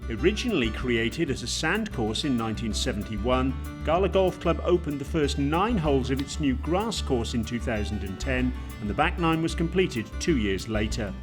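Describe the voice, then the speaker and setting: Man, sports narrator, scripted, measured, lively pitch, guitar bed. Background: guitar music.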